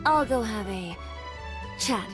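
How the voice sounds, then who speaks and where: Cool, cutting game heroine: haughty, fairly high, expressive range, music underneath. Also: female voice, slow pace. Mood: cool, dismissive. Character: cool, haughty, sharp-tongued no-nonsense young woman. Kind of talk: video game character acting.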